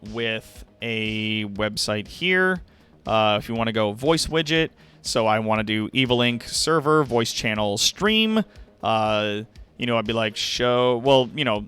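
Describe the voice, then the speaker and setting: A man's voice: mid-range voice, sharp tone. A man hosting a livestream in a casual, rambling, chatty way, informal and given to swearing. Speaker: livestream host.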